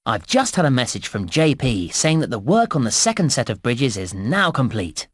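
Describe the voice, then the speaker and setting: An actor playing a cheerful, encouraging theme park guide, giving clearly enunciated instructions in a children's game. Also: male voice, mid-range voice, big pitch swings, normal speed, no room sound. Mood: announcing. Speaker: game narrator and tutorial guide. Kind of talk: scripted children's game narration.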